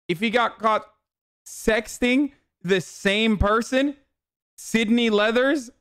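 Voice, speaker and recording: A man's voice: high voice, a bit bright, smooth, no echo, clean studio sound. A man doing sarcastic, incredulous live stream commentary, repeating himself for emphasis and swearing freely. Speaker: streamer reacting to a recorded call.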